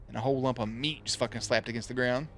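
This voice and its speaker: A man's voice: medium pitch, steady tone, fast talker, fairly bright tone, faint music behind. A man giving casual reaction commentary on a TV show, joking around with slang and swearing. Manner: jokey, playful, slangy and profane. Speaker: reaction video host.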